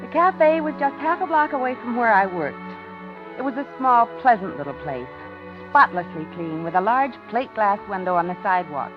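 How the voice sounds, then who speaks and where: A woman's voice: fairly high pitch, lively intonation, moderate pace, close to an everyday voice. An actress playing a woman in danger telling her own story, dramatic and confiding, in 1950s radio drama style. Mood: wistful, pleasant. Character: frightened woman narrating her own impending murder. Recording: dull vintage radio, music behind.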